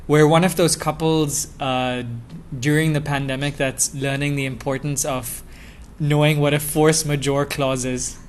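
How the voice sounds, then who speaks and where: A man's voice: on the high side, flat delivery, unhurried, slight background noise. A young man from Dubai, a podcast guest talking casually about himself, with many fillers and chuckles. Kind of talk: casual interview chat.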